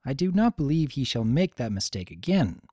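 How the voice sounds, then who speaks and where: A man's voice: medium pitch, sing-song, moderate pace, mellow, husky, no echo, clean studio sound. A man voicing a proud, formal pony princess, a concerned younger sister with archaic, emphatic speech in a dramatic story reading.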